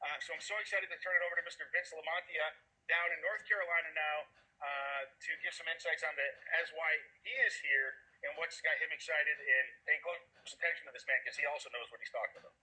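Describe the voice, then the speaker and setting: Casting: fast-talking Boston man hosting a video call, upbeat and rambling. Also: fairly high pitch, steady tone, bright, forceful tone, rough recording. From Massachusetts, USA. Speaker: host introducing speakers on a video call. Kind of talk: enthusiastic motivational pitch.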